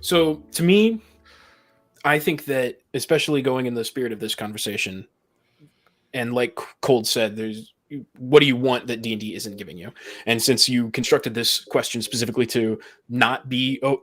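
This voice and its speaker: A man's voice: medium pitch, fairly bright tone. A man talking casually and explaining his views in a tabletop gaming discussion. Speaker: panel guest on a tabletop gaming podcast.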